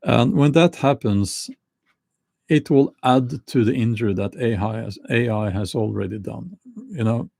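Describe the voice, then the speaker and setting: A middle-aged man with a Norwegian accent talking casually to camera, slow and halting with many restarts. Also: medium pitch, gravelly, a little mellow, dry recording, clean studio sound. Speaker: YouTuber.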